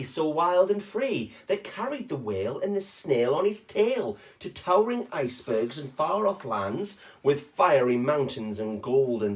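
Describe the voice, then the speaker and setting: Guy reading a rhyming kids book out loud, highish voice, really animated, little asides to the children. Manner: animated, with short chatty asides to young listeners. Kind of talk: expressive read-aloud of a children's rhyming picture book.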